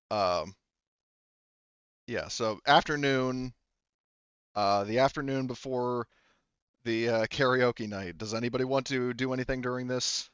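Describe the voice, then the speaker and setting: A male game master casually improvises narration for a tabletop game. His voice is clean and smooth, his delivery rambling and self-correcting, recorded dry with a slightly muffled top end. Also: mid-range voice, fairly bright tone, no room sound.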